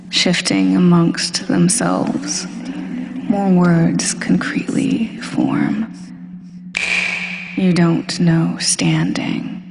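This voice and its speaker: A woman playing a smug, domineering female supervillain hypnotist in a scripted roleplay audio, breathy and deliberate, often dropping into a whisper. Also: fairly low pitch. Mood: domineering, soothing. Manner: slow, deliberate, hypnotic, commanding. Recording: long hall-like reverb.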